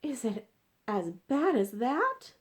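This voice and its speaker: A woman's voice: a little high, big pitch swings, slow pace, pitched above the performer's own voice. A woman voicing a curious, alarmed young girl in a storybook, questioning and exclaiming.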